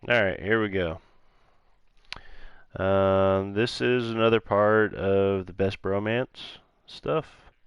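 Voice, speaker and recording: A man's voice: lowish voice, slow pace, smooth, no echo, muffled recording. A man giving casual, sarcastic commentary as he plays a video game, tossing out offhand asides. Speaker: let's play gamer. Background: video game music.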